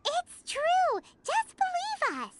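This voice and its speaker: A very high, squeaky little fairy girl with a voice that leaps all over the place. Bossy and excitable, she chirps encouragement like a pushy best friend in a fantasy game. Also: slight background noise, exaggerated voice. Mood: eager. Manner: bouncy, wheedling, quick to cheer others on. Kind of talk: video game voice acting.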